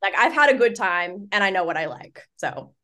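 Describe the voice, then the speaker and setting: Chatty thirty-year-old woman, medium pitch. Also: expressive, normal speed, sharp tone. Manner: candid, colloquial, frequent "like". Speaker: podcast guest. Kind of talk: casual chat.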